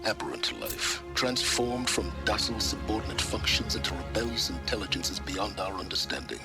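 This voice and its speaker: A man playing a cool, enigmatic mentor in a video game, speaking in a dry, deliberate, erudite way. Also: lowish voice, animated, music in the background. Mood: grave, fascinated.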